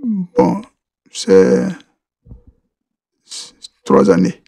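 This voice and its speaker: A man from Côte d'Ivoire, a podcast guest answering interview questions, halting and often repeating words as he restarts. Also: mellow, dry recording.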